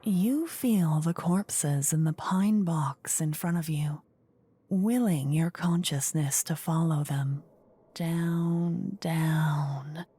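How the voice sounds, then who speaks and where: A woman narrating a fantasy ASMR story in a soft, soothing whisper, measured and very close to the mic. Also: low voice, slightly rough. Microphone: close ear-to-ear ASMR microphone.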